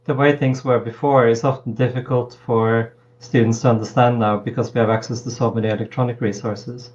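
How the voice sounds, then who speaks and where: Measured Norwegian-born professor, muffled call audio. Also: male voice, mid-range voice, flat delivery, darkish tone. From Norway. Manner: measured, hesitant with frequent ums. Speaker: political science professor, guest. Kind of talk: thoughtful interview answers.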